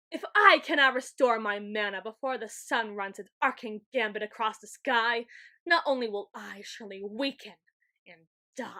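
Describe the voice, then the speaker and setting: A woman's voice: fairly high pitch, sharp tone. A woman playing a grandiose, weakened overlord of shadows in an ASMR roleplay, with pompous, flowery, melodramatic delivery. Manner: pompous, archaic flowery phrasing. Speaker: ASMR roleplay audio performer. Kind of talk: ASMR roleplay, theatrical scripted monologue.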